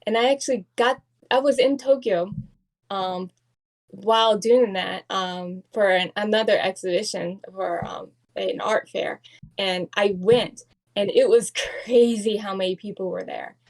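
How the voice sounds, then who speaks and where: A woman's voice: mid-range voice, fairly even intonation, measured pace, low-quality recording. A woman, a guest artist, telling stories with animation in a casual podcast chat, with many fillers.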